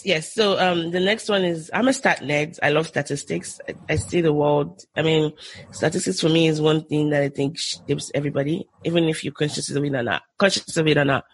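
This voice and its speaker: A woman's voice: low-pitched, fairly quick, slight background noise, rough recording. A Nigerian woman with a Nigerian accent, a podcast guest talking eagerly in casual chat, often restarting her sentences. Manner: enthusiastic, with frequent restarts.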